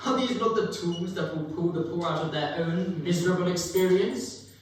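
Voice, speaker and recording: A man's voice: sits high, moderate pace, lightly acted. A teenage boy playing a tormented, humbled old miser with imploring, formal Victorian speech in a live dramatic reading. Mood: humbled, curious. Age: teens. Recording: very echoey hall, distant mic, faint noise.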